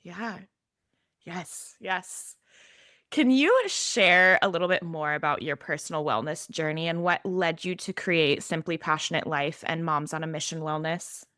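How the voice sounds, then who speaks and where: A woman's voice: deep voice, measured pace, a bit bright, no room sound, clean studio sound. A woman hosting a podcast in a conversational interview style. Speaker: podcast host.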